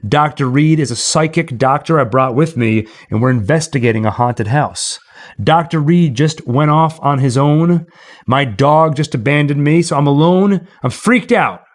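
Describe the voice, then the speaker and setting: A man's voice: fairly high pitch, moderate pace. A man hosting a livestream, chatting casually and telling stories in a theatrical, dramatic manner. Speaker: livestream host. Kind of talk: casual storytelling and chat to livestream viewers.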